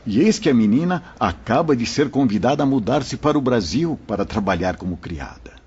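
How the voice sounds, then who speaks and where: A solemn wise spirit mentor, very husky. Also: male voice, mid-range voice, expressive, a little fast, darkish tone. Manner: explanatory, solemn storytelling. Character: wise kindly spirit mentor explaining to listeners. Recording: muffled lo-fi audio.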